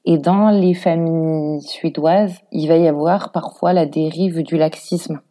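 A woman's voice: low voice, fairly even intonation, measured pace, dark tone. A woman in her thirties from France with a French accent, talking informally and reflectively in an interview, thinking aloud as she goes. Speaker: interview guest, expatriate mother; preschool worker. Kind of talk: casual conversational interview.

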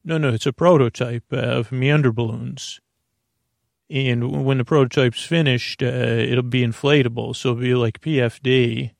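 A man's voice: medium pitch, fairly even intonation, normal speed, creaky, no echo, clean studio sound, lightly acted. A man playing a goofy male inventor who shows off his balloon underwear, saying "me" for "my". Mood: hammy. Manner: hammy, pirate-like "me" for "my".

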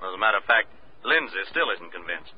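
A man's voice: deep voice, very animated, fast, husky, exaggerated voice. An American man acting a worried, apologetic client in a 1940s radio drama, earnest and hesitant. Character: worried, hesitant male client pleading for help. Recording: dull, dry old radio recording.

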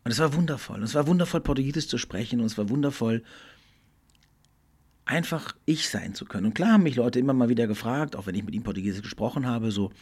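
A middle-aged man hosting a podcast, speaking in a thoughtful, earnest monologue that often slows and drops almost to a whisper. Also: mid-range voice, expressive, darkish tone, husky. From Germany. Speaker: podcast host. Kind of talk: reflective solo podcast monologue.

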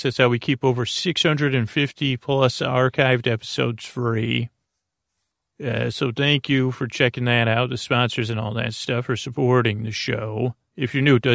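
A man hosting a sleep podcast in a meandering, halting, gently silly monologue that keeps trailing off and circling back. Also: mid-range voice, vocal fry, no echo, studio quality. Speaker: podcast host.

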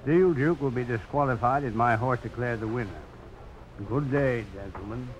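An actor playing a haughty, vindictive judge, curt, formal and sneering, in a 1950s radio drama. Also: male voice, medium pitch, normal speed, close to an everyday voice. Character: haughty, vindictive judge, a sore-losing gambler villain. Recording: noisy old radio broadcast.

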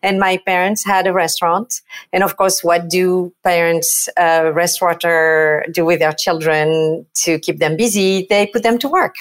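A middle-aged Frenchwoman with a fairly low voice speaks English with a clear French accent. She tells her story with eager, upbeat energy. She is a podcast guest. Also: measured pace. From France, Provence. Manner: lively, enthusiastic storytelling. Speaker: guest, PR agency president. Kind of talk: podcast interview.

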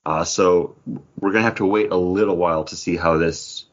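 A man hosting a casual podcast, chatting in an animated, joking way with quick asides. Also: deep voice, lo-fi sound. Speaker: podcast host.

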